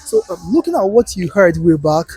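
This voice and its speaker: Ghanaian man interviewing, higher-pitched, music behind. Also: very animated, brisk, dark tone, husky, no room sound. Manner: polite, courteous questioning. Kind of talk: on-location interview.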